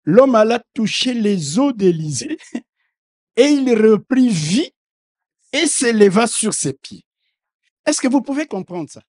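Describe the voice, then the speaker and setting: Man, bishop preaching, non-native speaker, unhurried delivery, fervent, hallelujahs, quiet asides then shouted declarations. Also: big pitch swings. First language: not French. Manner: swings from low asides to loud shouted proclamations. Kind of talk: sermon.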